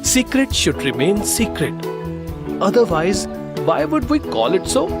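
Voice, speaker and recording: An actor voicing a sly, secretive village farmer husband in an animated storybook tale, with theatrical delivery. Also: male voice, high-pitched, measured pace, full character voice. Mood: smug, dismissive. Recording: background music under the voice.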